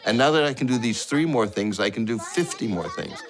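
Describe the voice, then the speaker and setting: A man's voice: medium pitch, sing-song, brisk, a crowd in the background. A man speaking earnestly and emphatically about his school in an interview. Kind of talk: inspirational interview.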